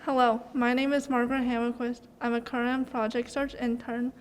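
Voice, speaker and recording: A woman's voice: on the high side, little pitch movement, a little fast, in a large hall, poor audio. A nineteen-year-old woman introducing herself as an intern, earnest and repeating words as she goes. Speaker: program intern. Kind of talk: prepared self-introduction.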